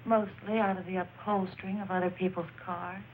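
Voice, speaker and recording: An actress playing a brassy, defiant small-time crook in a film noir crime drama, with tough, sarcastic, slangy speech. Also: female voice, lowish voice, level tone, brisk, phone-quality sound, slight background noise, lightly acted. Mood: cagey, sardonic.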